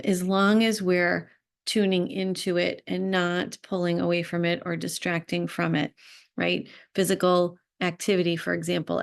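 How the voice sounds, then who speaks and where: Woman with creaky, even-toned voice. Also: fairly low pitch. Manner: halting, thoughtful, with pauses. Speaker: spiritual self-healing guide. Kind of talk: unscripted reflective talk to viewers.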